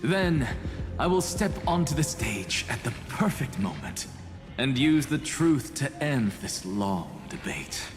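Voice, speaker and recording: An actor playing a theatrical, arrogant, daring alchemist genius in a video game, with flamboyant, dramatic delivery. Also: male voice, mid-range voice, sing-song, takes it slowly. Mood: grandly confident. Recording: long reverb, music behind.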